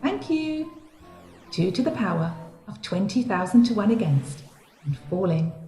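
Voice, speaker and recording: A composed female announcer aboard a comic sci-fi starship, played by a woman. She is formal and reassuring, with a mid-pitched, swinging intonation. The sound is clearly roomy. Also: big pitch swings, unhurried, mellow, soft background music. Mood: calm, reassuring.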